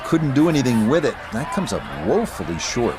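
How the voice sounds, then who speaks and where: A man doing a calm golf game commentator. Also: mid-range voice, noisy background, lightly acted. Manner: calm, measured play-by-play. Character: calm male golf play-by-play announcer. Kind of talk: scripted sports commentary. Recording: game audio with music and crowd.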